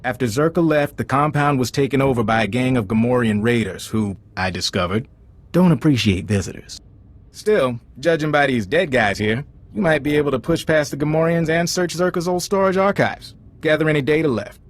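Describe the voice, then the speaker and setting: A man acts out a male intelligence agent from a sci-fi video game. He briefs a recruit frankly and informally, with a wry edge and a middle-pitched voice. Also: brisk, close to an everyday voice. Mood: urgent, dry.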